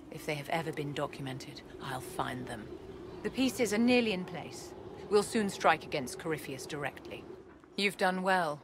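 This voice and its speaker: An elegant, imperious court sorceress from a fantasy game. Her voice sits fairly low and she speaks with poise and condescension, every phrase measured and formal. Clear ambient noise runs behind her. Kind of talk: video game cutscene acting.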